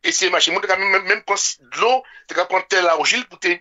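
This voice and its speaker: Male radio talker, French Caribbean accent, quick and high-voiced, impassioned, repeats himself for emphasis. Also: pushed and bright, muffled recording. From French Antilles. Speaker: radio show speaker. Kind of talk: impassioned informal political discussion.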